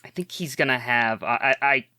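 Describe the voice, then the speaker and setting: A man's voice: medium pitch, normal speed, bright, forceful tone, clean tone, no echo, clean studio sound. A man playing a tabletop game as a guest, thinking aloud hesitantly. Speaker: player guest.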